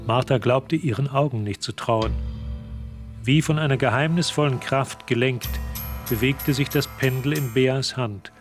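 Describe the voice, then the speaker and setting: A man narrating a suspenseful radio drama in a measured, literary manner. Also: medium pitch, music in the background, lightly acted. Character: composed male storyteller narrating a suspense tale.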